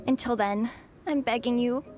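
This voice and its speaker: A woman's voice: fairly high pitch, normal speed, darkish tone, soft background music, close to an everyday voice. A woman voicing a weary young student in a video game, melodramatic and pleading. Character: weary, dramatic young female student on vacation.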